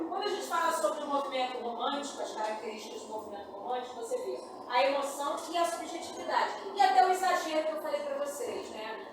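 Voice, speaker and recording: A woman with a Brazilian accent lecturing a class as a teacher, explaining things informally and with emphasis. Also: high voice. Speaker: literature and writing teacher. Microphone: distant from speaker. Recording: echoey room, distant mic, noisy.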